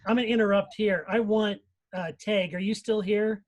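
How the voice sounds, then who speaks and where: Woman, medium pitch, direct, casual moderator. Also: fairly even intonation, normal speed, a little mellow. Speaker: panel moderator. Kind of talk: casual hosting.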